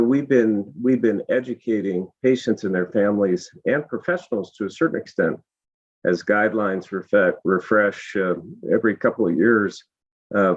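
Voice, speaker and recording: A hoarse man with a low voice speaks on a panel, his voice worn down. His phrasing is measured and polished. The audio is dull and lo-fi. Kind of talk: panel discussion.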